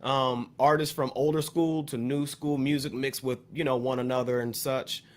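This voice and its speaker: An American man talking as a podcast panelist, explaining his view on music in a casual, measured way. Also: medium pitch, steady tone. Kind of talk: casual discussion, music commentary.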